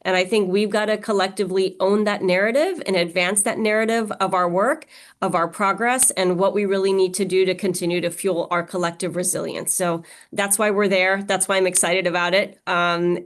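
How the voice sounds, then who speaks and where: A woman born in Pakistan and raised in Mexico, speaking as an interviewer in an earnest, measured way with frequent fillers. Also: medium pitch, steady tone. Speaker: interviewer, organization leader. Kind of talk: conversational interview.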